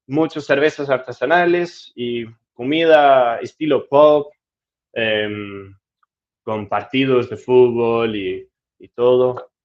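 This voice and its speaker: A man talks in an easygoing, chatty way, reading viewer comments aloud and answering them with small restarts. His voice sits at an ordinary middle pitch. The recording sounds a little dull and lo-fi. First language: Danish. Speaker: travel vlogger answering viewer questions. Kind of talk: casual live Q&A, switching between English and Spanish.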